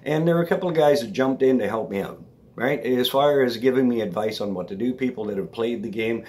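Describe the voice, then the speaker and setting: A man hosting his own vlog, slightly raspy from allergies, talking casually and rambling, often checking in with the viewer. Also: mid-range voice, brisk, a little mellow. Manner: chatty, rambling, checks in with "all right?". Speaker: vlog host. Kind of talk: casual talk to camera.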